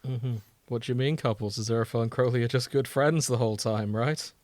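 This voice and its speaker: A man's voice: medium pitch, fairly even intonation, quick pace, a little mellow, dry recording. A man co-hosting a podcast, chatting casually and riffing on stories with dry, teasing humour. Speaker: podcast co-host. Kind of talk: casual chat, reading and riffing on fan fiction.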